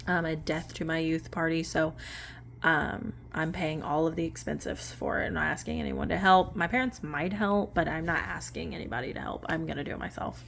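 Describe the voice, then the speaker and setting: A woman's voice: low-pitched, fairly even intonation, moderate pace, creaky, fairly bright tone, slight background noise. A woman in her late twenties chatting casually while sorting cash into budget envelopes, rambling and correcting herself with small laughs. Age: late 20s. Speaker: budgeting vlogger.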